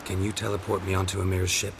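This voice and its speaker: Low, flat-toned monster hunter. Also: male voice, fast talker. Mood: terse, determined. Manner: flat, clipped, understated. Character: gruff, terse, stoic male monster hunter. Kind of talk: video game cutscene acting. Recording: clear background noise and ambience.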